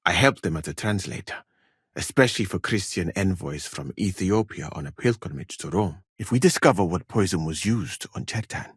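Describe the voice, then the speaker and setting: Learned medieval physician, lowish voice, slightly husky, dignified, formal, dry wit, video game dialogue. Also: male voice, no room sound, exaggerated voice. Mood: reasoning, assured. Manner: measured, polite, formal, articulate.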